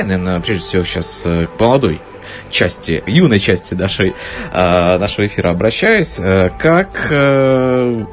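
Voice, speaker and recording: Man from belarus, low voice, radio host in a studio, halting with fillers, faint music behind. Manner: hesitant, frequent filler sounds.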